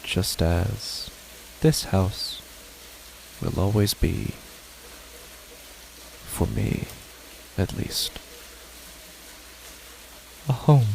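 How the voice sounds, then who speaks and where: A man voicing a grieving parent alone in a dark, silent house, speaking in a slow, hushed, dreamlike monologue for a horror story. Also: fairly low pitch, expressive, vocal fry, a little hiss or hum, exaggerated voice, poor audio. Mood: eerie, hushed. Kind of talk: first-person horror narration.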